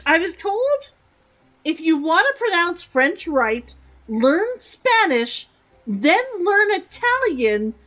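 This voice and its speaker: A woman's voice: very high-pitched, fairly bright tone, poor audio. A young woman streaming a game, chatty and giggly, repeating words aloud to test how they sound. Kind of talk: live game commentary.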